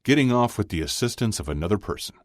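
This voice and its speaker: Audiobook character, male narrator acting: hearty big guy, booming and commanding, animated delivery, dry recording. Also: normal speed, no room sound. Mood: hearty, bossy. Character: big jovial man with a booming, happy voice.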